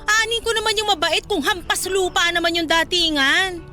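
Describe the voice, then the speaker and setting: Haughty, materialistic young Filipina for radio drama, played by a woman: very high, bright, dismissive delivery over faint music. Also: fairly even intonation, normal speed. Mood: scornful, irritated. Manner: sassy, dismissive, slangy.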